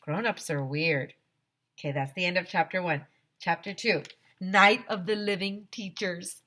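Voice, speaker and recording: A woman teacher reading a children's story aloud expressively to her students, with animated emphasis and direct asides to the children. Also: on the low side, a little slow.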